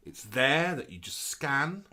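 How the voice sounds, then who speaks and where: A man's voice: medium pitch, slow pace. A man from the UK, co-hosting a casual live stream and telling nostalgic stories with frequent laughs. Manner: animated storyteller, laughs often. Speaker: co-host.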